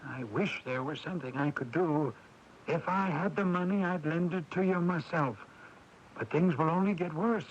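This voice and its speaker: Muffled radio drama, actor as polite young lawyer guy, high voice, formal and sorry. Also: close to an everyday voice. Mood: regretful, firm. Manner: formal, measured. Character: courteous, regretful, practical young male attorney. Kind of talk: 1980s radio drama acting. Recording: dull, hissy 1980s AM radio recording.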